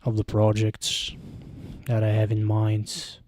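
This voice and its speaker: A man's voice: on the low side, monotone, takes it slowly, a little mellow, no echo. A young man with a Bulgarian accent, chatting on a casual live stream, rambling and hesitant, with lots of fillers, into a handheld mic at home. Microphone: handheld microphone close to mouth.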